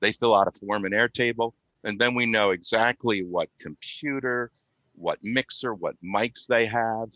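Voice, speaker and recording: Male tech-minded interview guest, deep voice with a bright, forceful edge, explanatory delivery. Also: measured pace, no room sound.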